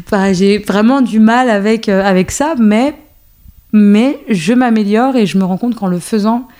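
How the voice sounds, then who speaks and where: A woman's voice: medium pitch, unhurried, mellow. A woman in her thirties, born in Algeria and raised in France, talks casually as a guest with many fillers and restarts. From born in Algeria, raised in a French suburb. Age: 30s. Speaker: guest, choreographer and dance teacher. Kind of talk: casual conversational interview.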